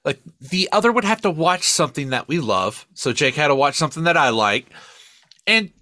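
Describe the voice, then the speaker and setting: A man co-hosting a podcast in casual banter, swearing freely and rambling in an animated way. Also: fairly high pitch, fairly quick, no room sound, clean studio sound. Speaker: podcast co-host.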